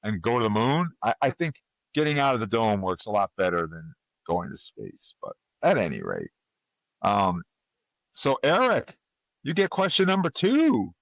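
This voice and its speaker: A man's voice: fairly low pitch, wildly swooping pitch, normal speed, creaky, no echo. A man hosting a casual trivia chat, talking conversationally to his panel over a slightly dull microphone. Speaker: podcast host running a trivia quiz.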